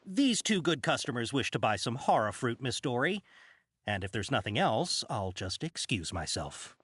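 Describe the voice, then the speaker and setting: A man's voice: medium pitch, big pitch swings, moderate pace, fairly bright tone, slightly rough, no echo. A man voicing an overly courteous, apologetic male shop informant in a game cutscene. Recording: game soundtrack music behind.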